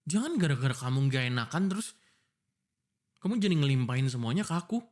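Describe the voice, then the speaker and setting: A man's voice: mid-range voice, very animated, fast talker, darkish tone, lightly acted. A man playing an exasperated, jealous young fiancé in a boyfriend audio roleplay, arguing emotionally with pointed questions. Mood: frustrated, accusing. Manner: emotional, argumentative, pleading, full of pointed questions. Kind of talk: boyfriend ASMR roleplay acting.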